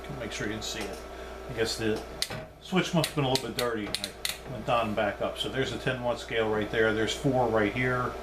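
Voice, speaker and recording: A man's voice: lowish voice, fairly even intonation, brisk, heavy background noise. A man giving a casual, unscripted hands-on demonstration as a hobbyist reviewer, thinking aloud as he goes.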